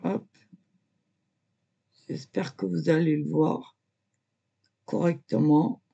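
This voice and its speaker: A woman's voice: low-pitched, fairly even intonation, takes it slowly, creaky, mellow, slightly rough, highs cut off. A woman casually talking viewers through her drawing, hesitant and full of filler words, prone to coughing as she talks. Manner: hesitant, lots of "voilà" and "euh"; coughs and chokes easily when talking. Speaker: amateur artist showing a drawing.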